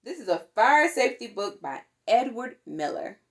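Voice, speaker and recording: A woman reading a children's picture book aloud in an expressive, deliberate way, with playful exclamations. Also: on the high side. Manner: deliberate, animated, with playful sound words and exclamations. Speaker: storytime reader for a fire department.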